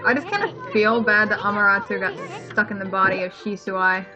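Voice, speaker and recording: A woman's voice: medium pitch, a little fast, lo-fi sound. A woman co-hosting a let's play, giving casual, informal and opinionated gameplay commentary. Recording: game music behind the voice.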